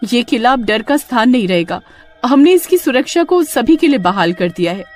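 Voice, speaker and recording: Earnest, animated young adventuress played by a woman. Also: fairly high pitch, normal speed, soft background music, pitched above the performer's own voice. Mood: relieved.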